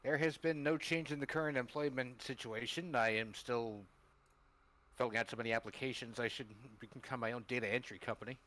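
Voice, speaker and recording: Male panelist, medium-pitched, deadpan, easy conversational talk. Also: a little fast, rough recording. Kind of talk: casual chat.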